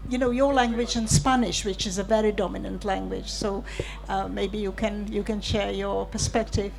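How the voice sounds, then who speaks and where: A woman moderating a live panel, politely and haltingly handing questions over to speakers and audience. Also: medium pitch, steady tone, creaky, a little mellow, low-quality recording.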